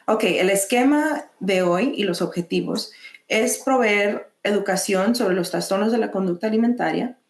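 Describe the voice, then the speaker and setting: A woman with a Mexican accent presenting a mental health lesson, measured, pausing often to restart phrases. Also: medium pitch, reverberant. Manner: measured, with frequent hesitations and restarts. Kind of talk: educational webinar presentation.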